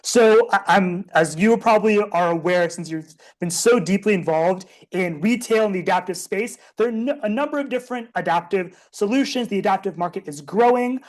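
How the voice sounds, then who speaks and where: A woman's voice: mid-range voice, moderate pace, few pauses, darkish tone. A young woman hosting a podcast, asking questions and reflecting thoughtfully with frequent fillers. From United States, Indian American. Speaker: podcast host.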